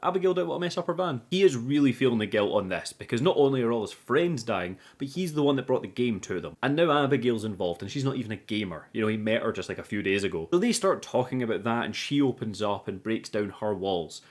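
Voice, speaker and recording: A British man with a mid-pitched voice hosts a film review. He narrates the plot in a casual, cheeky way, throwing in sarcasm and plenty of swearing. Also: lively intonation, fast, hardly any pauses. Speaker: film reviewer, channel host. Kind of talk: scripted recap narration with casual sweary commentary.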